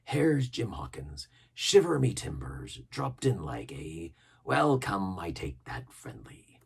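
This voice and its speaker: A man's voice: sits low, sing-song, slow pace, gravelly, husky, full character voice. A man voicing a sly, mock-friendly pirate captain with salty dialect, genial and sneering in a storybook reading. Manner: genial but sneering, gracious, persuasive.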